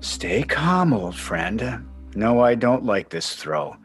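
An actor playing an aggrieved, sentimental ex-husband and sports fan in a radio play, speaking plaintively. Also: male voice, mid-range voice, big pitch swings, slow pace, soft background music. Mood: confiding.

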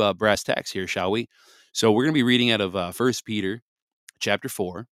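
A man from Michigan hosts a scripture podcast while congested from a cold. His voice sits low and stays smooth, and the close mic is recorded dry. He rambles with many fillers, then slows down to read scripture deliberately. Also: fairly bright tone, dry recording. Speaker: podcast host. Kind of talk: scripture reading with casual commentary.

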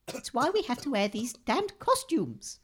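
A woman's voice: fairly high pitch, big pitch swings, measured pace, breathy edge, heavily acted. A woman voicing a weary, blunt mutant woman who speaks in a plain, matter-of-fact way. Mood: dry.

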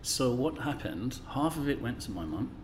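A man in his sixties from the English Midlands with a neutralised accent, a guest telling stories in a friendly, measured way in an office interview. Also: medium pitch, big pitch swings, noisy background. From England, Midlands. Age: 60s. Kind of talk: conversational interview storytelling.

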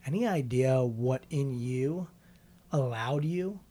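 A male interview host with a mellow, slightly husky voice at an average pitch. He asks curious, probing questions in a relaxed, reflective way. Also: slow.

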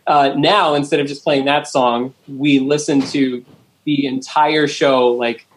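A man co-hosting a podcast, chatting easily among friends. He talks in loose, rambling sentences packed with ums and likes, at an average pitch. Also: fairly even intonation, unhurried. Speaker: podcast co-host. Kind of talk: casual chat.

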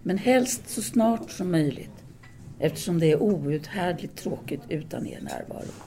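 An actress playing a possessive, commanding French intellectual woman voicing a letter to her lover in an audio drama, measured, formal and insistent. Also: lowish voice, lively intonation, dark tone, slight background noise. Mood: firm, impatient. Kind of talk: audio drama monologue, letter read aloud.

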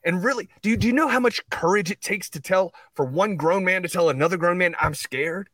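A man in a heated conversation, speaking earnestly and defensively, often halting and repeating himself as he makes his point. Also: high voice, fairly bright tone, no room sound. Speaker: podcast guest. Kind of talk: heated conversational storytelling and debate.

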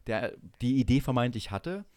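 A middle-aged man hosts a podcast in a casual, conversational way, telling anecdotes as he goes. His voice sits at an ordinary male pitch, recorded very dry. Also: animated, moderate pace, darkish tone, dry recording. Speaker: podcast host.

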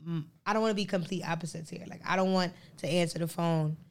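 A young woman co-hosting a podcast, chatting casually and laughing often, with a voice she calls deep for a woman. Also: fairly even intonation, quick pace. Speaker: podcast co-host. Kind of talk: casual chat.

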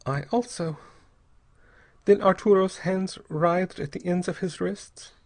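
A man voicing a terrified, despairing young married woman in an audiobook story, with a breathy, halting, pleading delivery. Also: high voice, darkish tone, voice pushed up. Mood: anguished recounting. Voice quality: breathy, gasping.

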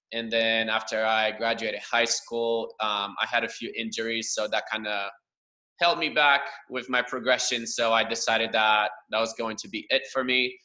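A young man from Romania, Romanian his first language, talks through his past in a relaxed interview. His voice is strikingly bright and forward, and his answers run long and earnest. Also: medium pitch, low-quality recording. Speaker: guest, cheer assistant coach. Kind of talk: casual interview over a livestream.

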